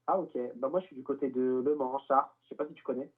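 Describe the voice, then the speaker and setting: A man's voice: mid-range voice, steady tone, quick pace, breathy edge. A man answering a prank phone call, guarded and laid-back, heard over a tinny phone line. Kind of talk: phone conversation.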